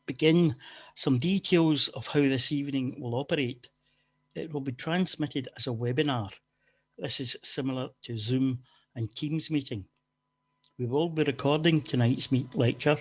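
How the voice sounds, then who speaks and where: A man's voice: mid-range voice, slightly rough. A man chairing a webinar, reading a formal introduction and housekeeping notes for a lecture. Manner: formal, scripted. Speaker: forum chair, event host. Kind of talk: read introduction. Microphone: video call.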